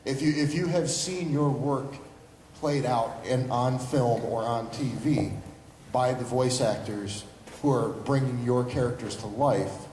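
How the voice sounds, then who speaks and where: A man with a mid-range voice puts a careful, deliberate question as an audience member. The room is big and echoey, with slight noise. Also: medium pitch, steady tone, darkish tone. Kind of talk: convention Q&A question. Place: large indoor convention panel room. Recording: echoey reverberant hall, light noise.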